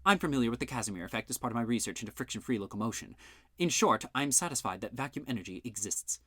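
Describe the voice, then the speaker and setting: A man's voice: medium pitch, expressive, pushed and bright, dry recording, clean studio sound. Man doing a prim formal woman scientist. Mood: measured. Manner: measured, dismissive, formal. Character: prim, precise female scientist.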